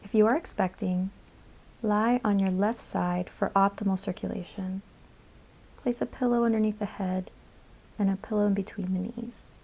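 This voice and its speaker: Calm lady leading guided meditation, soft mellow tone w lots of vocal fry, measured phrases and pauses. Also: medium pitch. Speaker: yoga nidra meditation guide.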